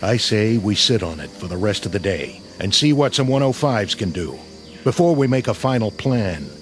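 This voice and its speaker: An actor playing a battle-hardened, skeptical army sergeant in a radio drama, plain-spoken, folksy and wry. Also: male voice, on the low side, big pitch swings, normal speed, soft background music. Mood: blunt, dry.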